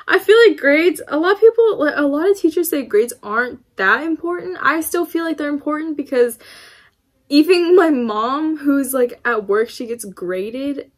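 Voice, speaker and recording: A woman's voice: high-pitched, expressive, normal speed. An eighteen-year-old woman answering viewer questions in a casual vlog from her room, rambling with lots of fillers and little laughs. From United States. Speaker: YouTuber. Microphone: camera in front of her, laptop beside it.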